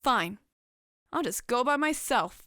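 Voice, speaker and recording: A woman voicing Silverstreak, a sullen, easily frustrated adolescent pegasus girl in an animated storybook drama. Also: a little high, slow pace, background noise. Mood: determined.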